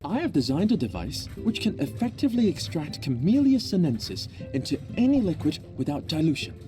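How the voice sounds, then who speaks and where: An actor playing a fussy, self-important scientist in a video game, speaking in a formal, pedantic way. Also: male voice, a little high, huge pitch swings, brisk. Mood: precise, self-satisfied. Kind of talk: video game character acting. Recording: music behind the voice.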